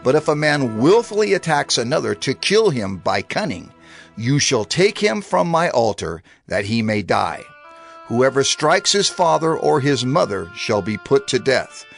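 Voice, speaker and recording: A man acts out a solemn male lawgiver in a dramatized scripture production. His voice is gravelly and swings expressively through emphatic declarations. Faint music runs underneath. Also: medium pitch, very animated, a little slow. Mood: solemn, stern.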